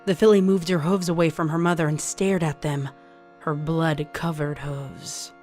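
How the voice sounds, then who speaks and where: Low woman voice narrating creepy story, scripted n dramatic, music behind. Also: steady tone, moderate pace, darkish tone. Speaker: narrator of a horror fan fiction. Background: music under the voice.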